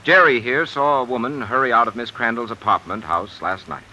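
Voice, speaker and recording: An actor playing an anxious professor and husband in a 1950s radio drama, stammering and urgent. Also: male voice, mid-range voice, brisk, bright, forceful tone, dull audio, a little hiss or hum. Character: anxious middle-aged male professor and husband.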